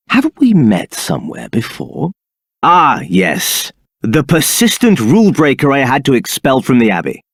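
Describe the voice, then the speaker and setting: A man's voice: mid-range voice, no echo, studio quality. An actor playing a scheming, arrogant male templar captain in a video game, speaking in a haughty, condescending manner.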